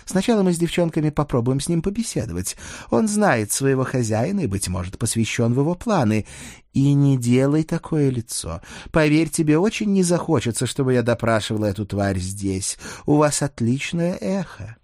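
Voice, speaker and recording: A man's voice: medium pitch, big pitch swings, normal speed, darkish tone, no echo, clean studio sound, voice pushed up, close to an everyday voice. A man voicing a confident half-vampire woman in an audiobook, tender yet irritated, teasing and authoritative. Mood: commanding, teasing. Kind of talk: audiobook character acting.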